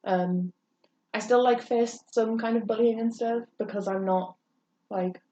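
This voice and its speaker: A young woman talks candidly, circling her thoughts with frequent pauses and fillers. Her voice is mellow and sits well back from the mic. The room echoes around her, and a dull, lo-fi sound carries a faint hiss. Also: fairly high pitch, fairly even intonation, distant mic. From England. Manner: earnest, rambling, frequent hesitations. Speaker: podcast co-host. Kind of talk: candid personal chat.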